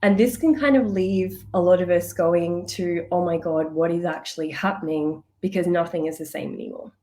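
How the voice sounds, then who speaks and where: A woman's voice: lowish voice, fairly even intonation, moderate pace, mellow. A woman coach chatting casually on a live stream, bubbly and laughing often, a bit congested from a cold. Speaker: business coach.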